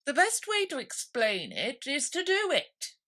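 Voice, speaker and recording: A woman's voice: high voice, wildly swooping pitch, measured pace, bright, forceful tone, pitched above the performer's own voice, full character voice. A woman voicing a solemn, long-winded storybook dodo who speaks formally and is easily offended.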